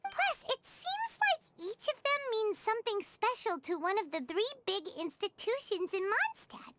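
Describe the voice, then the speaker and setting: A woman voicing a tiny, cheeky, chatty fairy companion in a video game, with a squeaky childlike voice. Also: sing-song, normal speed, loud music behind the voice. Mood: cheerful, caring. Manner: chatty, refers to self in third person.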